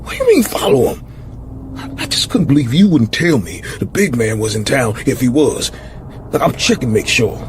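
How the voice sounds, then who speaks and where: An actor in a radio drama playing a jittery, defensive gangland lookout with an American accent. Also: male voice, mid-range voice, big pitch swings, moderate pace, slight background noise, lightly acted.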